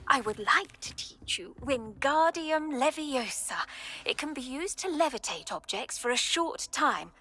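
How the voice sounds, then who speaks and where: Bright, high-voiced magic professor. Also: female voice, lively intonation, measured pace, full character voice. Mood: instructive. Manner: instructive, formal. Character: brisk female magic-school professor. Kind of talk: video game dialogue.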